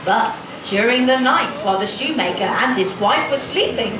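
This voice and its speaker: A woman's voice: a little high, animated, moderate pace. A woman narrating a children's pantomime as stage storyteller, theatrical and full of exclamation. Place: children's covered outdoor stage. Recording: distant echoey stage PA, noisy.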